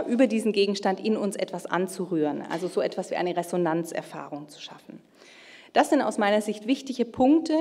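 A reverberant hall carries the voice of a middle-aged woman. She speaks at a medium pitch in the style of a formal conference lecture. Her phrasing is measured and emphatic, as if working through prepared notes. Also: a little mellow. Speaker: keynote speaker. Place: large indoor auditorium stage at a lectern. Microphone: gooseneck lectern microphone.

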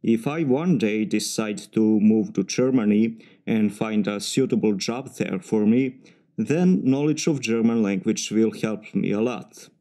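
A man with a Serbian accent giving formal, measured practice answers for a timed speaking exam. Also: lowish voice, warm tone. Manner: formal, measured, slightly stiff phrasing. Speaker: mechanical engineer practicing test answers.

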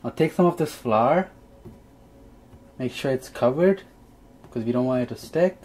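A man's voice: medium pitch, animated, normal speed, darkish tone. A young man giving a casual, step-by-step cooking demonstration as host in a small home kitchen. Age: young adult. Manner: explains step by step.

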